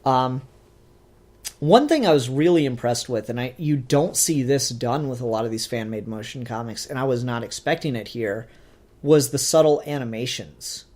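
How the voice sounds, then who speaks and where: Man, podcast co-host, mid-pitched voice, casual reviewing, halting, thinks aloud. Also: expressive, darkish tone.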